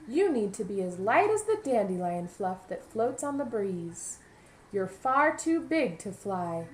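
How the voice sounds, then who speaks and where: A woman's voice: medium pitch, sing-song, a little slow, echoey room, a little hiss or hum. A woman voicing a blunt, dismissive little sparrow in a children's story, matter-of-fact and discouraging. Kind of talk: storybook read-aloud for young children.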